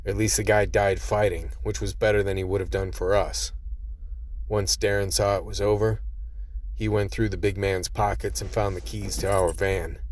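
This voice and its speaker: A man as a low-voiced hardboiled survivor. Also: level tone, normal speed, no room sound, lightly acted. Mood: grim. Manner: plain-spoken, hardboiled storytelling. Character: world-weary tough male survivor narrating his ordeal. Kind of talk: radio play narration and dialogue.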